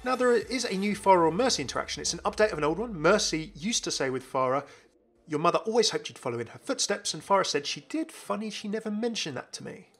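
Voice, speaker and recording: A man's voice: high-pitched, expressive, fast, fairly bright tone, breathy edge. A man hosting a gaming channel, giving chatty, enthusiastic, informal commentary on a video game.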